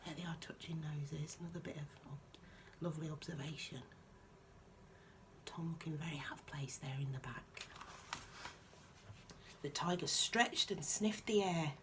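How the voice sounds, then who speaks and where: Low female voice, soft-spoken storyteller, children's picture book, chatty musing asides, noticeable background noise. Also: vocal fry.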